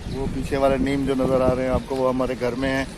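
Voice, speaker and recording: A man's voice: mid-range voice, completely flat delivery, fairly quick, heavy background noise. A man with a Pakistani Urdu accent vlogging from a rooftop, chatting to his viewers and teasing his wife. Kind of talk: casual vlog narration. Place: rooftop outdoors.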